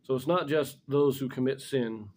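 Mellow middle-aged man explaining scripture. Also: mid-range voice, normal speed. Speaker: scripture commentator. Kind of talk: informal religious lesson with scripture reading. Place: small indoor room in front of a blackboard.

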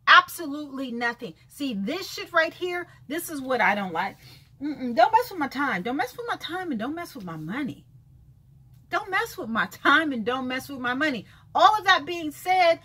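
A 51-year-old woman vlogging a sassy, emphatic rant from a bright room with books, repeating lines for effect and calling listeners baby and honey, gesturing as she talks. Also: high voice. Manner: lively hand gestures while talking. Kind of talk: casual talking-head rant. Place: bright room with shelves of books by a window, indoors.

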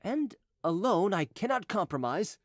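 A man voicing a courteous, persuasive gentleman fugitive who talks evasively, as in an audiobook. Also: sits high, very animated, measured pace, no room sound, studio quality, voice pushed up, heavily acted. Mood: confiding, coaxing. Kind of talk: audiobook character dialogue.

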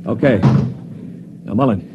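An earnest leader of captives in space played by a man in an old radio play. His middle-pitched voice gives brisk orders, with clear noise on the vintage recording. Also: narrow, tinny audio, close to an everyday voice. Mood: urgent, commanding. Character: urgent, commanding male leader of human captives. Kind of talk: 1950s radio drama acting.

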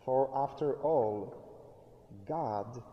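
Slow, deliberate middle-aged preacher in a church. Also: male voice, mid-range voice, dull audio. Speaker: pastor. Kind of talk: sermon. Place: church sanctuary, indoors, at a lectern. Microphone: fixed camera at a distance. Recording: reverberant church hall.

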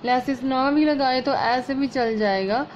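Female vlog host, sore throat with a slight rasp, homely chatty tutorial delivery, somewhat high voice, muffled phone recording. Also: measured pace. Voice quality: slightly hoarse. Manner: chatty, homely, explaining as she works. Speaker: sewing channel host. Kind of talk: casual step-by-step sewing tutorial. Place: at home over a work table. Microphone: phone camera over hands. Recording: muffled lo-fi phone audio, faint room noise.

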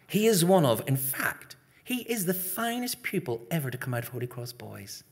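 A man plays a doting schoolmistress who coos over her best pupil. Her lines rise bright and singsong, gentle and proud. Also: a little high, big pitch swings, moderate pace, breathy edge, pitched above the performer's own voice, exaggerated voice. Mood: fond, praising. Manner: cooing, sweetly praising. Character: fond cooing middle-aged woman schoolteacher.

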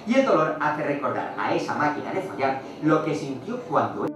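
A man's voice: fairly high pitch, very animated, moderate pace, distant mic. A man performing spoken and sung poetry to guitar on a stage. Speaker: singer and guitarist. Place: dark theatre stage, indoors. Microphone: stand microphone. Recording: very echoey theatre, from afar. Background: guitar music.

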